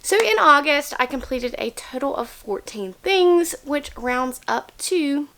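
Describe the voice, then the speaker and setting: A young woman talks through her month of reading in a candid, chatty way. Her voice sits a bit high, and a cold leaves her slightly nasal. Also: measured pace. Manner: chatty, candid, animated opener. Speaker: book vlogger. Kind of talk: casual chat about books.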